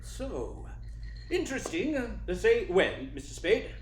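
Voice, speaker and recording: Man acting a high-voiced, courtly fat schemer. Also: sing-song, exaggerated voice. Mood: jovial, sly. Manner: effusive, courtly, deliberate, chuckling speech. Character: jovial, sly fat man, smooth criminal schemer. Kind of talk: radio play acting. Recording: roomy echo, faint noise.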